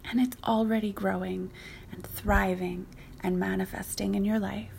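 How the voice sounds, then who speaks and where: A woman leading a guided yoga nidra meditation as the teacher, speaking softly and breathily, often close to a whisper, in a soothing, unhurried, gently instructive way. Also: medium pitch, flat delivery, gravelly, a little hiss or hum.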